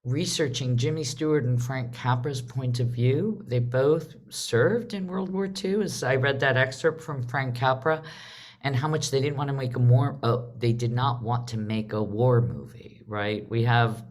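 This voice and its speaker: Casting: woman, panel host on a video call, conversational, halting, self-interrupting delivery. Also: extremely low pitch, darkish tone. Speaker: host and artistic director leading a panel. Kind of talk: live online panel discussion.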